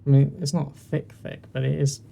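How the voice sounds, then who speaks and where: A southern English man chats through casual game commentary in a mellow, creaky voice. He is self-deprecating, recorded on a poor mic with faint noise. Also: mid-range voice, flat delivery, moderate pace. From southern England. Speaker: host of a gaming series. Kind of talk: casual let's play commentary. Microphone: poor-quality mic with uneven levels.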